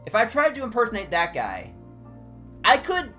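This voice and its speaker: A man with a higher-pitched voice, chatting casually and discursively as a game streamer. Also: normal speed, soft background music. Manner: animated, discursive, jumping between tangents. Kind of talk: casual rambling livestream chat during gameplay.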